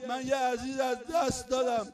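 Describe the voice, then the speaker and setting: High-voiced man chanting a mourning lament. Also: completely flat delivery, a crowd in the background. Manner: emotional, wailing, half-sung lament. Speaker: religious eulogist.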